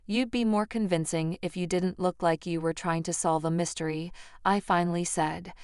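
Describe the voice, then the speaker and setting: Female story narrator, slightly low pitch, crisp resonant tone, close dry recording. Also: fairly even intonation, moderate pace, a little mellow, dry recording. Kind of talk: scripted first-person story narration.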